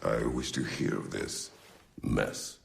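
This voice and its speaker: Brooding game warrior father, slow, terse and formal, super gravelly. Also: extremely low pitch, slight background noise, heavily acted. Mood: stern, curt. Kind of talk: video game acting.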